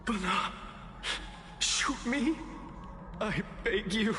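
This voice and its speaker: A man's voice: high voice, lively intonation, drawn-out words, sharp tone, long reverb tail, heavily acted. An actor voicing a broken, despairing man in a video game, anguished and pleading. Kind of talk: dramatic video game voice acting. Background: music.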